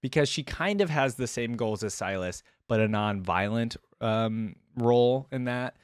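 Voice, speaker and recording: A man's voice: medium pitch, lively intonation, a little slow, clean tone, no room sound. A man in his twenties reacting casually, thinking aloud and putting questions to viewers, close on a desk microphone. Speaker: reaction video host. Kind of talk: casual reaction commentary on game voice lines. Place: indoor desk setup at home. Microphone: desk microphone close to the mouth.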